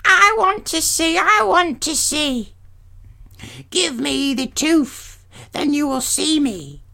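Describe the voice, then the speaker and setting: Shrill squabbling ancient hags. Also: female voice, lively intonation, slow pace, gravelly, pushed and bright, exaggerated voice. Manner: shrieking, squabbling, pleading. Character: shrill ancient crone sisters, squabbling and whining.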